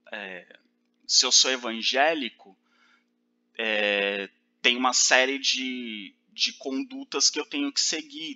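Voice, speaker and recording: A man's voice: medium pitch, bright, forceful tone. A man teaching a recorded lecture, explaining step by step with fillers and check-ins.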